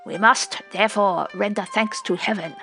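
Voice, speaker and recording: A woman's voice: medium pitch, moderate pace, vocal fry, pushed and bright, soft background music. A woman voicing a bold, confident male warrior leader in a storybook, reassuring and devout. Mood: hearty, reassuring. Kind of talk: storybook character voice.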